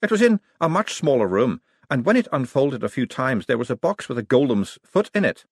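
A man's voice: medium pitch, very animated, a little fast, breathy edge, no room sound, studio quality, pitched above the performer's own voice. A man voicing a dreamy, wistful woman character in an audiobook. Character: dreamy woman reminiscing about childhood.

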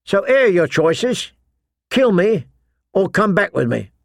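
A man's voice: sits high, very animated, a little slow, vocal fry, dry recording, studio quality, voice pushed up, heavily acted. A man voicing a commanding young ringleader of boy recruits in an audiobook, challenging and intoning.

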